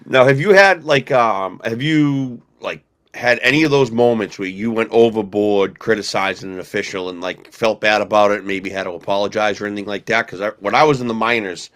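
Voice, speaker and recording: A man with a somewhat low voice hosts a casual podcast. He interviews informally, with slangy phrasing like someone chatting among friends. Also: brisk, hardly any pauses, fairly bright tone.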